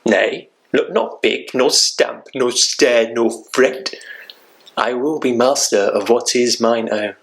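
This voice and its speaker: A man's voice: mid-range voice, takes it slowly, fairly bright tone, rough recording. A man playing a domineering, swaggering bridegroom in a Shakespeare monologue, declaiming with emphatic command. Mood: domineering, boastful.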